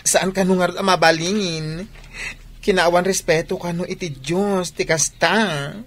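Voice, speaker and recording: A woman's voice: lowish voice, steady tone, a little slow. A woman playing a stubborn young sweetheart in an Ilocano-accented radio drama. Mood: bickering. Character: stubborn, teasing young sweetheart planning to marry.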